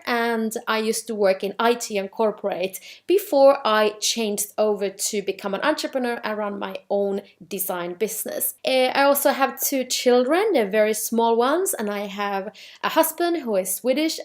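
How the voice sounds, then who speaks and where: A woman with a Finnish accent talks through a business workshop in a clear, steady way. Her voice sits a little higher than most women's. She sounds like a presenter in her thirties. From Finland.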